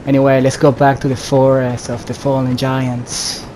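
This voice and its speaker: A man's voice: medium pitch, monotone, normal speed, very noisy. A man giving casual commentary as he records his video game playthrough.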